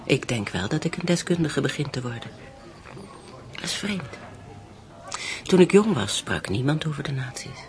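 A woman playing a guarded, gentle widow torn in love, in an intimate and restrained radio drama style. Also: extremely low pitch, animated, moderate pace, long pauses. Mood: pensive, curious. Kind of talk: naturalistic radio drama acting.

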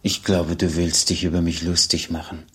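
An older man acting a tired, kindly former robber in a radio play, plain-spoken and husky. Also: low-pitched, little pitch movement, normal speed, a bit bright, heavily acted. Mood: plain, wary.

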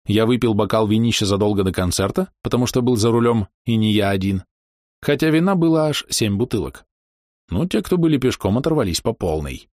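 Man, lowish voice, dry close sound, wry slangy first-person diary narration, touring musician. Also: expressive, fairly quick, a little mellow, dry recording. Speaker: touring musician telling his tour diary.

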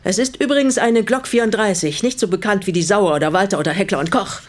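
Actress as older vengeful widow, radio play, mid pitch, formal diction, biting, sarcastic, resolute. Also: female voice, fast, natural voice. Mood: stern, menacing. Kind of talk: German radio play acting.

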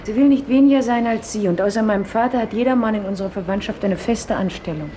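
Female actor as guarded daughter, medium pitch, hesitant answers, vintage radio acting, noticeable background noise. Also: fast, dark tone, close to an everyday voice. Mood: guarded, uneasy. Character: murdered man's guarded, working daughter in awkward awe of family. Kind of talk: 1950s German radio play acting. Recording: old radio recording with clear background noise.

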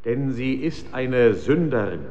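A solemn reader of scripture in a radio play. He recites very slowly and dramatically at a medium pitch. Long reverb surrounds the voice, and the sound is a little muffled. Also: lively intonation. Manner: slow, declamatory, dramatic swells and hushes. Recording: long reverberant hall, slightly dull sound.

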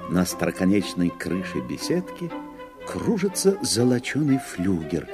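A man narrates a fairy tale in a medium-pitched voice. His scripted delivery is slow and richly varied in tone. Violin music plays clearly behind him. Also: very animated.

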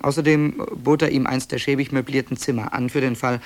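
A man's voice: mid-range voice, fairly even intonation, brisk, natural voice. A man playing a wry, digressive author who presents found tapes in a radio play, speaking conversationally and hesitantly in a dryly matter-of-fact way. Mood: dry, explanatory. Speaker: narrator.

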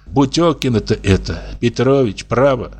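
A man's voice: medium pitch, moderate pace, a little mellow, close to an everyday voice. A man voicing a worried old peasant woman who sighs and pleads fussily. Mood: pleading. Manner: pleading, sighing, fretful.

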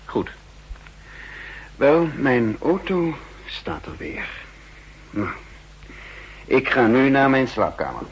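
An actor playing a shrewd, calm spymaster in a 1960s radio play, speaking in a measured, deliberate way. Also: male voice, medium pitch, husky, highs cut off, full character voice. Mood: cool, calculating. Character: shrewd, calm male spy posing as counterintelligence chief. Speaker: lead character and narrator. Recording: hissy, humming 1960s radio recording.

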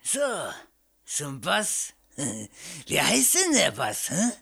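A woman's voice: low-pitched, huge pitch swings, drawn-out words, creaky, a bit bright, slightly rough, heavily acted. A woman playing a coarse, cowardly biker club thug who blusters and whines, in an amateur audio drama. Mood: surly, menacing. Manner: coarse, blustering turning to whining. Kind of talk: amateur pulp horror audio drama acting.

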